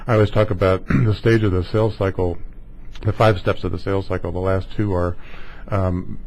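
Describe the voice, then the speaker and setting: A man hosting a conversational business interview, talking in a halting way with hedging asides. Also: low-pitched, a little mellow, poor audio. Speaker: interview host.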